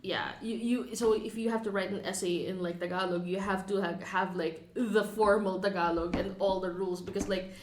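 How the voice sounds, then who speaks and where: A woman co-hosting a casual podcast chat, explaining things hesitantly with many pauses and restarts. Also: medium pitch, fairly even intonation, a little hiss or hum. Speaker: podcast co-host. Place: warehouse. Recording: echoey room.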